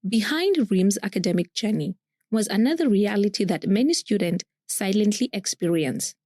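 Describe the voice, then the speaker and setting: A woman reading scripted narration for a campus radio feature in a measured, composed way. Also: mid-range voice, animated, dark tone, dry recording. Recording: dry close studio sound.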